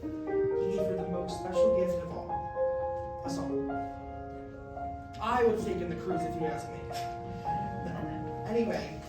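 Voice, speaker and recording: A woman's voice: on the low side, measured pace, long pauses, natural voice. Young woman doing an earnest rambly college guy in a stage reading, distant mic, super echoey, music behind. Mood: upbeat, presenting. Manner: hesitant, self-deprecating, chatty.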